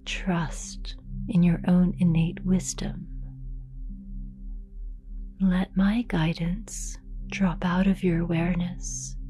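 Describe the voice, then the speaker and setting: Very slow, hushed woman guiding meditation. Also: fairly low pitch, soft background music. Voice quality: soft, breathy, near-whispered. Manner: calm, gentle, unhurried with long pauses. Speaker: yoga nidra meditation guide. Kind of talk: guided meditation, slow and soothing narration.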